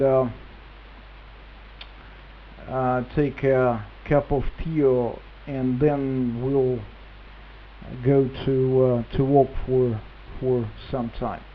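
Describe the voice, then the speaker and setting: Male ham operator, Russian accent, very slow hesitant English, casual radio chat, phone-like sound with faint hiss. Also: medium pitch. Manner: halting non-native English with long hesitations. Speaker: amateur radio operator, reflector administrator. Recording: tinny band-limited radio link with hiss.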